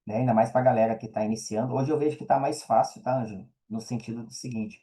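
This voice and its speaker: Mellow Brazilian man chatting casually. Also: mid-range voice, steady tone, quick pace. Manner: slangy, conversational, emphatic repetition. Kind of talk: casual podcast chat.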